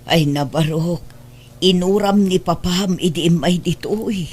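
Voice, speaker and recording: A woman's voice: on the low side, unhurried, darkish tone, breathy edge. A woman playing a poor, humble, anxious mother in a radio drama, plaintive and hesitant, with an Ilocano Filipino accent.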